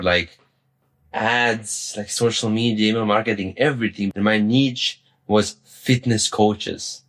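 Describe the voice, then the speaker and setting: A young man telling his own story as a vlogger, rambling, repeating words and swearing casually. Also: on the low side. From Serbia. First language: Serbian. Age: young adult. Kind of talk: personal story to camera.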